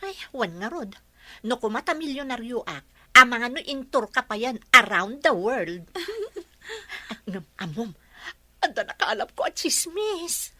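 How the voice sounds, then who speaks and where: A woman plays a dramatic, gossipy young village friend in a radio comedy. Her voice is very high and bright, chatty and theatrical, recorded dry and close. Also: big pitch swings, unhurried, no room sound, exaggerated voice. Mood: gossipy, excited.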